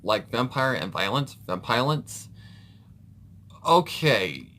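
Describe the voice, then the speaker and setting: A man's voice: mid-range voice, sing-song, slow, bright, forceful tone. A man hosting a reaction video, chatting casually off the cuff in a hesitant, rambling way as he thinks aloud.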